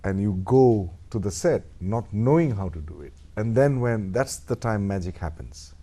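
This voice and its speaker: Animated Indian man, mellow voice. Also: lowish voice, moderate pace. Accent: Indian English. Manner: thoughtful, animated, acts out little quoted exchanges. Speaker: actor, interview guest. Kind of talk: reflective interview talk. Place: radio studio.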